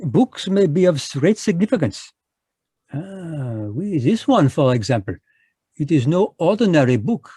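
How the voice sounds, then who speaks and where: An actor playing a fussy, courtly Belgian detective, in a live radio drama over a video call. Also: male voice, on the high side, sing-song, measured pace, mellow, breathy edge, no echo, studio quality, heavily acted. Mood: smug, courtly. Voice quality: precise, courtly French-inflected delivery. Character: fussy male Belgian detective, polite and sly.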